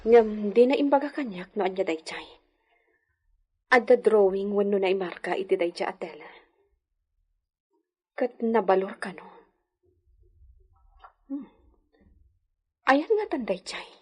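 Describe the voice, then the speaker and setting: A woman's voice: mid-range voice, lively intonation, measured pace, long pauses, a little mellow, slightly rough. A woman playing a suspicious, proud rich wife in a radio drama. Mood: suspicious musing. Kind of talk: Ilocano radio drama acting.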